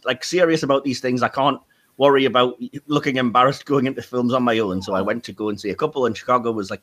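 A man in his forties, from North East England, chats easily on a podcast. His voice sits at an ordinary middle pitch. He rambles through anecdotes with plenty of ums and chuckles. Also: a bit bright. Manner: chatty storyteller, many ums and laughs. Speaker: podcast host. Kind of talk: casual chat.

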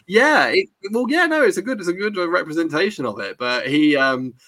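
A man's voice: on the high side, animated, fairly quick, sharp tone, slight background noise. A man hosting a casual chat about films, chatty and rambling, reading live comments as he goes. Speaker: podcast host.

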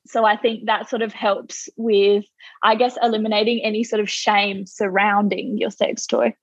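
A woman's voice: mid-range voice, flat delivery, moderate pace, breathy edge, no echo, muffled recording. A woman in her twenties with an Australian accent, a podcast guest chatting casually with many fillers and restarts. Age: 20s. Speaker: guest, toy company founder.

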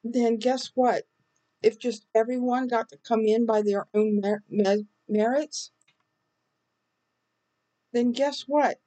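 A slightly hoarse woman co-hosting a faith podcast, giving a devotional talk with scripture. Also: fairly high pitch, fairly even intonation, slow pace, pauses often, mellow, low-quality recording. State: slightly hoarse, recovering from illness.

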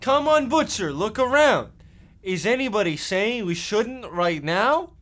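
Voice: mid-range voice, very animated, a little slow, a bit bright. An actor playing a scheming, trigger-happy Depression-era boy with folksy, melodramatic delivery in a comic radio play. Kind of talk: comic parody radio drama.

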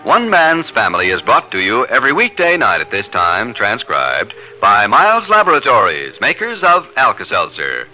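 A man's voice: medium pitch, wildly swooping pitch, normal speed, hardly any pauses, music in the background, poor audio. A male announcer reading a scripted advert emphatically in the style of 1950s radio, on a vintage broadcast recording. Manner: emphatic, spells out words.

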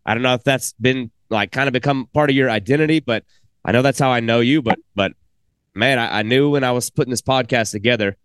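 A man's voice: mid-range voice, fast, a bit bright, clean tone, dry recording. A man hosting a casual podcast interview, talking enthusiastically and rambling with frequent restarts. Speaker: podcast host.

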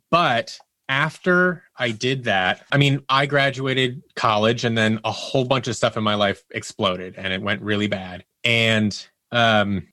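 A man's voice: on the low side, sharp tone, no room sound. A man speaking as an interview guest, telling personal stories candidly and reflectively, often voicing his inner thoughts as quotes. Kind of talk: candid personal storytelling in conversation.

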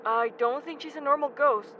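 A woman's voice: high voice, moderate pace, over a noisy crowd, close to an everyday voice. A woman acting a nervous, earnest young man who speaks hesitantly in an audio drama. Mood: explaining, hesitant. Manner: stammering, hesitant. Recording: muffled, vehicle noise behind.

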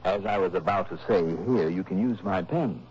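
An actor playing a cool, self-assured insurance investigator in a 1960s radio drama, with a dry, clipped, unruffled delivery. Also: male voice, deep voice, moderate pace, close to an everyday voice. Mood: cool, needling. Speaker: lead detective and narrator. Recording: noisy, muffled old radio recording.

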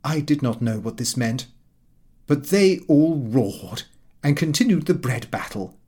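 A man's voice: mid-range voice, lively intonation, unhurried, dark tone, husky. A man narrating a comic first-person audiobook in a prim, precise, slightly aggrieved manner.

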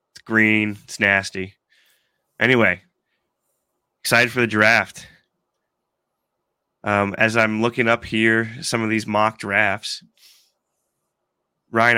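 A man's voice: lowish voice, bright, forceful tone, smooth, no echo, clean studio sound. Congested man hosting a sports podcast. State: congested from allergies. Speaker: podcast host. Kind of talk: casual sports chat.

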